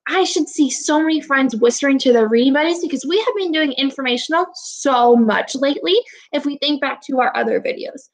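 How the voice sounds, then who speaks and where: A woman's voice: high-pitched, fairly bright tone, poor audio. A woman in her twenties, an elementary teacher giving an encouraging, instructional read-aloud lesson to young children over a webcam. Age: 20s. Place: small indoor room at home. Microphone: webcam or laptop microphone, video call recording.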